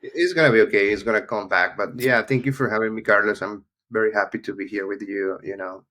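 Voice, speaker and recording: A man's voice: on the low side, quick pace, sharp tone. A Venezuelan man with a Spanish accent, a journalist guest talking casually on a video call, friendly and peppered with "you know". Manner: friendly, speaks steadily with "you know" fillers. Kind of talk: casual interview.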